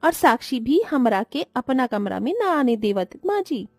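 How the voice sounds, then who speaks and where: A woman's voice: sits high, big pitch swings, normal speed, no room sound, soft background music, exaggerated voice. A woman voicing a humble young village daughter-in-law in a cartoon, deferential and rustic, with a Bhojpuri accent. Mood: meek, pleading. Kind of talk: Hindi cartoon moral-story voice acting.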